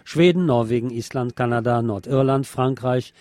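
Man, scripted news narration, medium pitch, warm dark timbre, dry recording. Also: normal speed, dry recording. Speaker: news reader.

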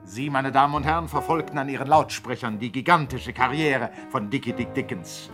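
A male radio narrator of medium pitch. He delivers a 1960s comedy script formally, with mock-solemn, epic grandeur. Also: fairly quick, over music.

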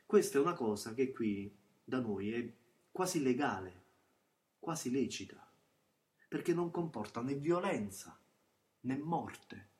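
A man's voice: mid-range voice, expressive, measured pace, long pauses, a little mellow, recorded from a distance, close to an everyday voice. An actor playing a bitter, plain-spoken young man from a small Camorra town, in a colloquial theatrical monologue with a Campanian accent and emphatic repetitions. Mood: bitter, confiding. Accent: southern Italian, Campanian. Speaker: stage actor. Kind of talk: theatrical monologue, first-person narration. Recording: music behind the voice. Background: plucked guitar music.

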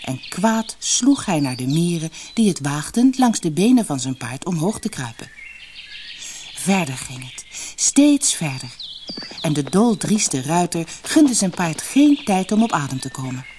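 A woman narrating a fairy tale audiobook in an expressive, measured, dramatic storybook style. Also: deep voice, slight background noise.

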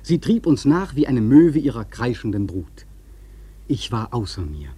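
Casting: seafaring storyteller for radio drama, soft mellow husky tone, intense and evocative delivery. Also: male voice, mid-range voice, sing-song, a little slow, dry recording, full character voice. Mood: awestruck. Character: awestruck young whaleman sailor recalling the hunt. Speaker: narrator. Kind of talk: dramatic literary radio-play narration.

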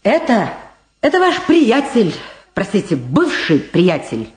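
A woman's voice: medium pitch, huge pitch swings, slow. A nervous, wheedling middle-aged woman from a radio play. She rambles and stammers, her intonation swinging widely as she talks. The voice sits in a roomy, echoing recording. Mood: confiding. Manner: hesitant, rambling, stammering under pressure. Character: nervous, talkative woman witness, evasive and wheedling. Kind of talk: radio play dramatic acting.

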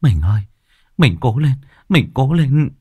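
Man acting a frantic young village husband, pitch leaping. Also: big pitch swings, measured pace, warm tone, husky, dry recording. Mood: urgent, encouraging. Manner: stammering, repeating words in panic.

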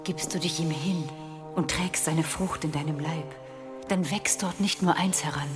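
An older woman playing a bitter old crone in a German audio drama, foreboding and scornful, at times breathy. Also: sits low, unhurried, over music. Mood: dark recounting. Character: bitter old crone, grim keeper of dark lore.

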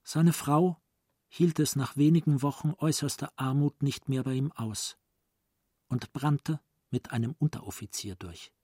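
A man narrating a literary radio play gravely, with deliberate dramatic emphasis. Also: mid-range voice, warm tone, dry recording, studio quality, natural voice.

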